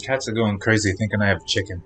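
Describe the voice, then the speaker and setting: A man's voice: fairly low pitch, fairly even intonation, fast. A man livestreaming a video game, chatting playfully and casually straight to his viewers. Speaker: game streamer. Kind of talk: casual livestream chat while playing. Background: faint game music.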